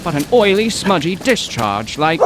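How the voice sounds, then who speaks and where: Man playing a high-pitched, flustered poetry professor. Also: very animated, normal speed, over music. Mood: agitated. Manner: florid, overwrought, stammering. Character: excitable, flustered male poetry professor, wordy and dramatic. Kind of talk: comic mystery audio drama acting.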